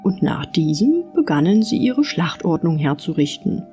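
A woman narrating an audiobook fairy tale in an expressive storytelling style. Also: on the low side, normal speed, faint music behind.

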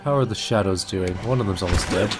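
Low-voiced man doing casual game commentary. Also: fast. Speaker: gaming video host. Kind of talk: casual let's play commentary. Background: game music and effects.

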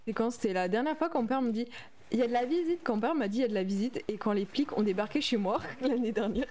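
A woman's voice: a little high, fast, darkish tone. Chatty young woman streamer. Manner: chatty, reads and answers viewer chat aloud. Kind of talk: casual livestream chat while playing a game.

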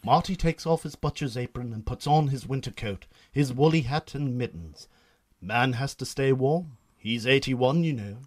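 A man narrating a comic radio drama in a theatrical storytelling style with deadpan asides. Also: mid-range voice, moderate pace.

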